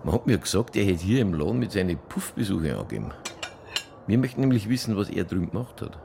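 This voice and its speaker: An actor playing a casual, persistent police detective in a radio drama, asking matter-of-fact questions with a Bavarian accent. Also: male voice, deep voice, sing-song, fast talker, creaky, dark tone, slight background noise, full character voice. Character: low-key male police detective, persistent and dryly casual. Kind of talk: naturalistic radio drama dialogue.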